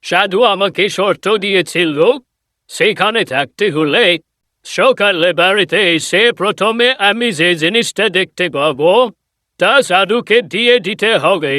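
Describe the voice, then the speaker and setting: Man voicing a worried old woodcutter dad, high bright voice, begging and tender, cartoon. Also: measured pace, dry recording. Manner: pleading, tender. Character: poor old woodcutter father, anxious and pleading.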